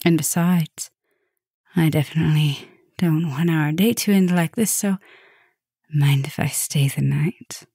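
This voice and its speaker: A woman playing a tender, gently dominant vampire professor in an intimate ASMR roleplay, speaking softly and soothingly, often slipping toward a whisper. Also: deep voice, dry recording, studio quality, heavily acted. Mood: relaxed, flirty. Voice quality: soft, breathy, often dropping to a whisper. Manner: slow, soothing, affectionate murmuring with pauses.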